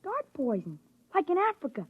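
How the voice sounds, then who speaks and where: Bratty little boy, extremely high, swooping pitch, insolent and menacing, dull old radio recording. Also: huge pitch swings, moderate pace, no room sound. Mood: pestering. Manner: insolent, pestering. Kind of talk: 1950s radio drama acting.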